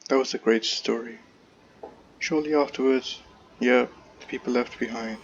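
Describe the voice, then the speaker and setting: Low, creaky-voiced woman gamer. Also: steady tone, normal speed. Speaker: gaming streamer. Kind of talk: casual gameplay commentary. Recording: muffled mic with faint noise.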